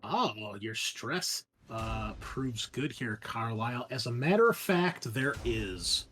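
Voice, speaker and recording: A man's voice: mid-range voice, animated, slow pace, a bit bright. Man game master over music. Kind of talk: narrating a tabletop horror game. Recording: music behind the voice.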